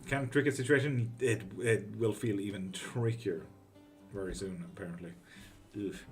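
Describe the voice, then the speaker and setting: A man's voice: fairly low pitch, soft background music. A man from Greece, hosting a live tarot stream and reading out viewer comments in a loose, profane, chatty way. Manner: relaxed and profane, with quick laughs.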